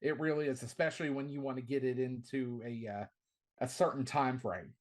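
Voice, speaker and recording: A middle-aged man who calls and announces wrestling, chatting loosely in an interview. His voice sits at a medium pitch, not deep, but it carries well. He talks with eager energy and keeps doubling words as he gets a sentence going. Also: fairly even intonation, a little fast. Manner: enthusiastic, repeats words at the start of phrases. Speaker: wrestling commentator and ring announcer. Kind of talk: casual podcast interview.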